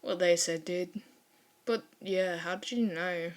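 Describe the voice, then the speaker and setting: A woman voicing a laid-back, dry teenage boy with a casual, deadpan manner in an audio fan story. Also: fairly low pitch, takes it slowly. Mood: dry, curious.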